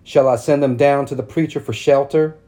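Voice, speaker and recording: A man reading a calm, guarded country mother. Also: mid-range voice, natural voice. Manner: mild, measured, plain country speech. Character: calm, guarded rural mother, quietly proud.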